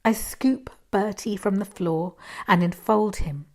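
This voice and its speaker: A woman reading a first-person short story expressively, with dramatic pauses and hushed asides. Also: mid-range voice.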